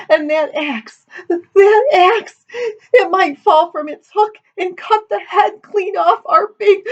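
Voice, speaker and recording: A woman's voice: very high-pitched, dull audio, pitched above the performer's own voice, full character voice. A woman voicing a weepy, sentimental young farm daughter in a storybook tale, sobbing plaintively as she speaks.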